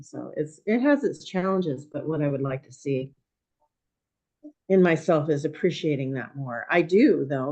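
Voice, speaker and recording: A woman in her sixties, a guest in a conversation, speaking thoughtfully and hesitantly with many pauses while her voice is going hoarse. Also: extremely low pitch, darkish tone. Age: 60s. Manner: thoughtful, hesitant with frequent ums. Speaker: podcast guest. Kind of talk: reflective conversation.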